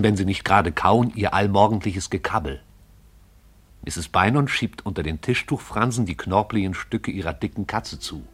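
A man narrating a literary radio play in a measured, descriptive storytelling way. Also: fairly low pitch, husky.

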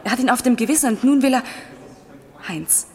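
A woman's voice: fairly high pitch, fairly quick, natural voice. An actress playing an anxious, pleading mother in a German radio crime drama, insistent and imploring. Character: anxious, pleading wife and mother fighting for family.

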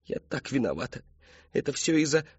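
A man voicing a frightened, tearful teenage daughter in an audiobook, pleading and halting in a thin, trembling voice. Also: a little high, a little mellow, breathy edge, dry recording, dull audio, pitched above the performer's own voice. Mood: ashamed, hesitant.

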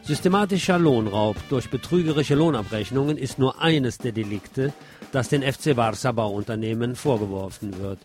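A male news presenter reads scripted reports in an emphatic, slightly wry way. His voice sits at an average male pitch. Music with drums and guitar plays clearly behind him. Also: moderate pace, a little mellow.

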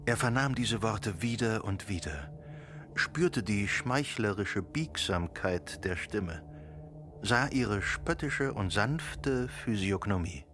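An actor playing the narrator of a radio play, reading literary prose in a measured way. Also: male voice, lowish voice, expressive, background noise. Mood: measured, dreamy. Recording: music with sitar behind.

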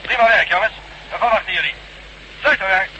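A man playing a gruff, businesslike chief speaking over a two-way radio in a science fiction drama. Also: high-pitched, pushed and bright, lo-fi sound. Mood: brisk, relieved. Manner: brisk, clipped radio procedure. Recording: noisy crackly radio link.

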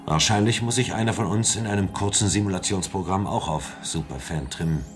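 A man playing a sober, resolute investigator in a radio play, speaking deliberately. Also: low-pitched, close to an everyday voice. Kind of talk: scripted radio play first-person narration. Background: music.

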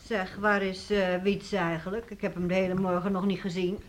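An actress playing a firm, practical mother in a radio drama, with a brisk, motherly manner. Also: medium pitch, level tone, close to an everyday voice. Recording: very noisy old radio recording.

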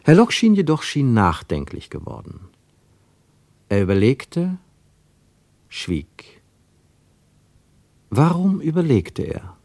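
A man narrating a radio play as a scripted literary story, with expressive storytelling delivery. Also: medium pitch, takes it slowly, long pauses, no room sound.

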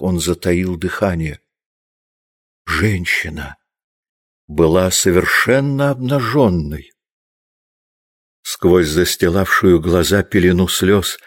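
Male audiobook narrator, low register, measured scripted storytelling.

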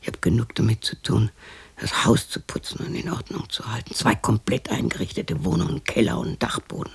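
An actress in a radio play playing a lonely sixty-year-old widow who murmurs to herself in a breathy voice, by turns tender and grumbling. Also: female voice, extremely low pitch, fairly quick, in a whisper, full character voice. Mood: weary, complaining. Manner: murmured self-talk, swinging between cooing and scolding. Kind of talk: radio play monologue, intimate acting.

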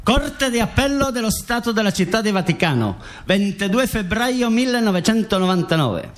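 A man playing a formal, measured narrator who reads out a case in a scripted documentary radio drama. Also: high voice. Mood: formal, official. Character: formal male court reader and case narrator.

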